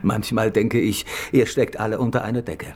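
An actor playing an irate, suspicious husband in a radio crime drama, brusque and full of contempt. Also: male voice, fairly low pitch, fairly even intonation, normal speed, darkish tone, close to an everyday voice. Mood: scornful, irritated.

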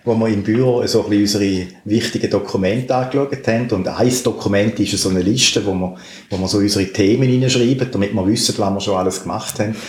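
A man's voice: fairly low pitch, fast talker, a little mellow. Echoey Swiss German man hosting a podcast. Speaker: podcast host. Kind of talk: casual interview chat. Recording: roomy echo with audible background noise.

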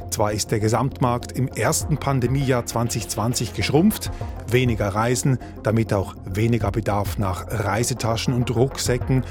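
A man reporting and explaining in a measured radio business feature. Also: medium pitch.

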